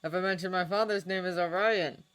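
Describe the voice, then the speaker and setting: Woman doing sardonic wizard guy, growly and forceful, storybook reading. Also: on the low side, fairly even intonation, brisk, clean tone, voice pushed up, natural voice. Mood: wry. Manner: growling, grumbling, loud. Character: grumpy sardonic grown man, wizard.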